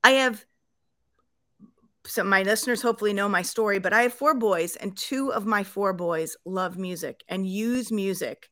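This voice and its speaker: A middle-aged woman hosts an interview podcast with an emphatic, personal delivery. Her voice has a medium pitch and is recorded very dry, with little room sound. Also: moderate pace, sharp tone, no room sound. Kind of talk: podcast introduction and conversational interviewing.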